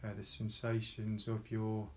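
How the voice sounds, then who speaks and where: Male meditation guide. Low voice, very flat pitch, calm and unhurried, leaves space between short phrases. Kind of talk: guided meditation, slow soft instruction.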